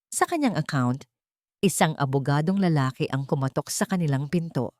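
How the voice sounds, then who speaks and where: A woman's voice: mid-range voice, a little mellow, dry recording, clean studio sound. A woman narrating a scripted story in a dramatic style.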